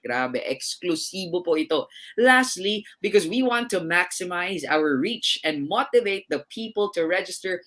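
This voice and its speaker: Lower-voiced Filipina event co-host. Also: female voice, a bit bright. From Philippines. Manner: cheerful, reads introductions, mixes Tagalog and English. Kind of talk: scripted event hosting.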